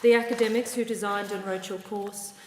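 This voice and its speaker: A woman with an Australian accent giving a formal address to graduates at a ceremony, measured with wry asides. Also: mid-range voice, steady tone, a little mellow, a little hiss or hum. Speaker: university ceremony presider. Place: large ceremony hall. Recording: echoey hall reverb.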